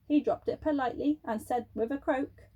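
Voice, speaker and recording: A woman's voice: high-pitched, brisk, mellow, lo-fi sound. A woman storyteller reading a children's bedtime story aloud expressively, speaking directly to young listeners.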